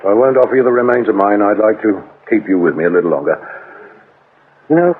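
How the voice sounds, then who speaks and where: An actor playing a sardonic, demanding police inspector in a British accent, with dry sarcasm and brisk questioning, in a radio drama. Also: male voice, fairly low pitch, animated, natural voice. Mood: sarcastic. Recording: tinny, band-limited radio recording, faint noise.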